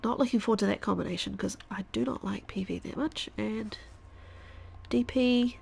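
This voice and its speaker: A woman in her thirties, a stuffy-nosed streamer chatting casually while she plays, thinking aloud and now and then dropping to a quiet whisper. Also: mid-range voice, moderate pace, creaky, slightly rough. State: congested, stuffy nose. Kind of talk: casual live-stream chat while gaming.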